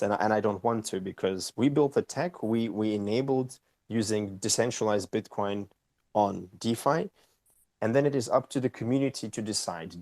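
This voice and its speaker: Low-voiced man explaining. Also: a little mellow, no room sound. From Austria. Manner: explanatory, tags questions with "right?". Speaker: company co-founder and CEO, guest. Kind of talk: casual interview answers.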